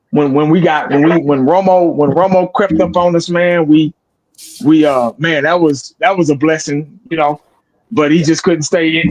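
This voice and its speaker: A man's voice: on the high side, rough recording. A man, a sports video creator talking candidly in a casual podcast chat, profane and rambling with restarts. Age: 40s.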